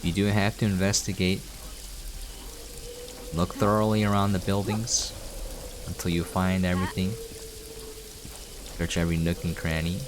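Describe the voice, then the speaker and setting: Male game streamer, low register, casual walkthrough talk over light background noise. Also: little pitch movement, moderate pace, pauses often. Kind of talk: casual gameplay commentary, explaining as playing.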